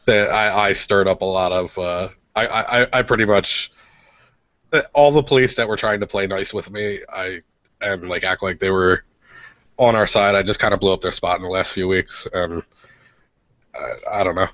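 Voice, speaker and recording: Halting, low-pitched American man on radio. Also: fairly bright tone, no echo. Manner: halting, repeats words as he starts. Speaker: radio show co-host and activist blogger. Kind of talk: casual radio show chat. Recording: dull, lo-fi audio.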